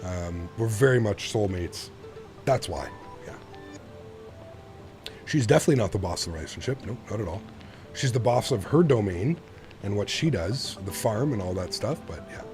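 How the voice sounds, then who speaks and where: A man's voice: fairly low pitch, animated, normal speed, long pauses, darkish tone, faint music behind. A man in his thirties from Canada live streaming a flight simulator, chatting casually with viewers while straining a sore throat. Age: 30s.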